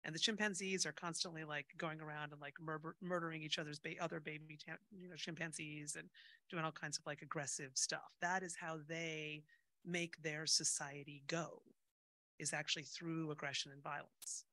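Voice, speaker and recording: A woman's voice: deep voice, fairly even intonation, normal speed, sharp tone. A middle-aged woman speaking casually and unscripted from a conference stage into a handheld microphone, informal and self-deprecating.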